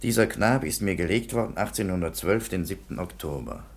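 An actor playing a matter-of-fact police commissioner in a radio play, speaking in a deliberate, pedantic manner. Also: male voice, deep voice, expressive. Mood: slow reading aloud. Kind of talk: German radio play acting.

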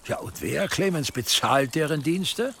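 Commanding bishop with lively intonation. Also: male voice, fairly high pitch, slight background noise, heavily acted. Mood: suspicious, surprised. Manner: measured, commanding, formal. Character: cautious, authoritative prince-bishop weighing grim counsel. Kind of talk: German historical radio drama acting.

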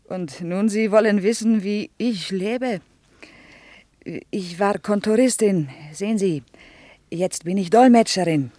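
An actress playing a proud, guarded Soviet woman interpreter who speaks halting German as a native Russian speaker in a radio drama. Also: mid-range voice, warm tone, dry recording, close to an everyday voice. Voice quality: foreign-accented, halting syntax. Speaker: interpreter and guide.

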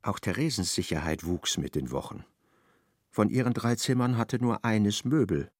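A man narrating a literary radio drama in a measured, precise, dry manner. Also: fairly low pitch, expressive, no room sound.

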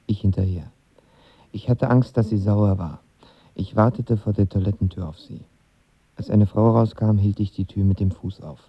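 A man's voice: fairly low pitch, steady tone, normal speed, slightly rough, no echo, lo-fi sound. An actor playing a lovestruck young man of twenty who tells his story in casual slang in a radio drama. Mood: wistful. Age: young adult. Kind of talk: radio drama narration and dialogue.

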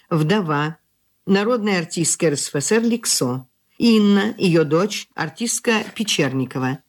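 Female radio announcer: slightly low pitch, formal, scripted credit reading for a drama broadcast. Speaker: announcer reading title and cast list.

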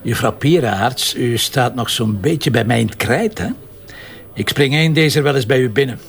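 A man with a Flemish accent playing a police commissioner in an audio drama, dry, probing and gently teasing. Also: medium pitch, moderate pace, gravelly, close to an everyday voice. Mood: sly, teasing. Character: male police commissioner, wry, probing, unhurried. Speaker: actor in an audio crime drama. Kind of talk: naturalistic audio drama dialogue.

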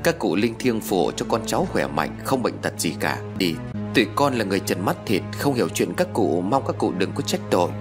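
A man's voice: fairly low pitch, sing-song, fairly quick, over music. A man voicing a hot-tempered, foul-mouthed old village wife who scolds and laments with raw emotion in an audio story. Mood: distraught, pleading.

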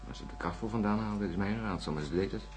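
A man's voice: lowish voice, quick pace, a little mellow, soft background music, lightly acted. A man acting a worn-out male astronaut in a science fiction radio play. He is cynical and irritable, speaking in a curt, sarcastic way. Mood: tired, bitter.

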